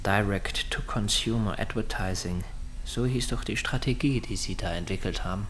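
A man's voice: on the low side, normal speed, a bit bright. An actor playing an insistent captor who interrogates his prisoner in a radio drama, terse and controlled. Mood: probing. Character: insistent male captor interrogating a pharma executive.

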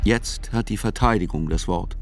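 Low-voiced sober male chronicler read by an actor. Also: faint music behind, lightly acted. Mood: sober, reflective. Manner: sober, reflective, deliberate phrasing. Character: sober male chronicler narrating a criminal trial. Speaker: narrator. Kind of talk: scripted literary narration for radio drama.

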